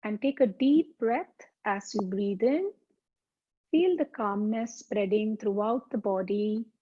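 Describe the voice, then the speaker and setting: Slow, mellow woman guiding meditation. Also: medium pitch, husky. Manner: calm, deliberate, soothing pauses. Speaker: yoga teacher. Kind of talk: guided meditation, slow instruction. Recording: dull, muffled lo-fi sound.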